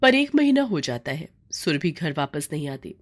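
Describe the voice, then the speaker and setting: A woman's voice: deep voice, very animated, normal speed. Woman narrating a story. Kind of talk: scripted moral story narration.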